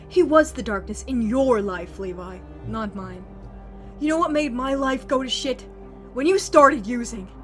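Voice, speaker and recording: High, sharp, furious young woman played by an actress. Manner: accusing, cutting, profane. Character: furious, wounded young sister, bitterly blaming her brother. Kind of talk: emotional audio drama acting. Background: faint background music.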